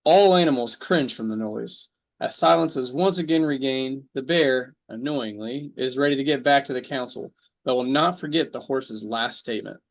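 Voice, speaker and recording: A man narrating an animal fable in a measured storytelling voice at a radio drama table read. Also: medium pitch, vocal fry.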